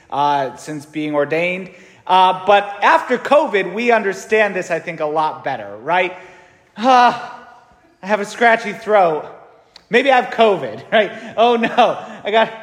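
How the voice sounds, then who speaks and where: Chatty priest in echoing church. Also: male voice, high voice, a bit bright. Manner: casual, chatty, many fillers and tag questions. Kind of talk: conversational homily. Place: large echoey church. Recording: echoey church with long reverb.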